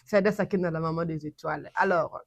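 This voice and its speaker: A woman from Congo with a Congolese French accent, hosting her channel and giving animated casual commentary with many restarts. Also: low-pitched, quick pace, vocal fry, dry recording, clean studio sound.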